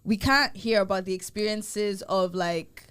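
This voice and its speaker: A woman's voice: medium pitch, measured pace, fairly bright tone, clear, resonant tone. A young woman with a Nigerian accent, talking casually in a podcast discussion. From Lagos, Nigeria. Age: young adult. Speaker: podcast speaker.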